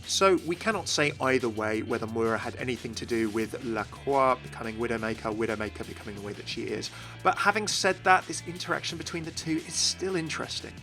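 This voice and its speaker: A man's voice: medium pitch, quick pace, gravelly, bright, forceful tone, faint music behind. A man hosting a scripted game lore explainer, addressing viewers directly and with enthusiasm. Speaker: video host, game lore commentator.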